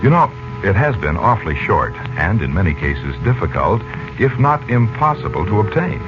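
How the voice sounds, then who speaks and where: A male announcer with a low, very animated voice delivers formal 1940s radio narration. His style is theatrical. The old broadcast sounds dull, with music clearly present. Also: normal speed.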